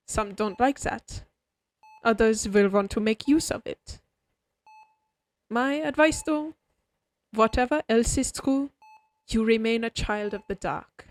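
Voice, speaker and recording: A woman voicing a refined, friendly vampire doctor in a scripted roleplay, explaining things to the listener in a formal, measured, courteous bedside manner. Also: medium pitch, close to an everyday voice. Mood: grave, reassuring. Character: refined, oddly friendly vampire doctor, centuries-old aristocratic healer. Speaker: doctor character in a roleplay audio. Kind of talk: scripted comfort roleplay, spoken directly to listener.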